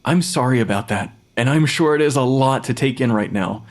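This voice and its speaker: A man's voice: mid-range voice, a little fast, natural voice. An actor playing a blunt young male pathologist in an audio drama, speaking in a matter-of-fact, clinical way. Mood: exasperated, firm. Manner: clinical, matter-of-fact, dictating notes formally. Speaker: actor in fiction podcast.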